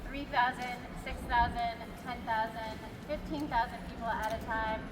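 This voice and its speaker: A soft-spoken woman from New Jersey speaking from the heart at a rally, with a hesitant delivery. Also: a little high, steady tone, normal speed, heavy background noise. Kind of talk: unscripted personal speech. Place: outdoor rally at a state house. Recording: echoey distant outdoor PA recording.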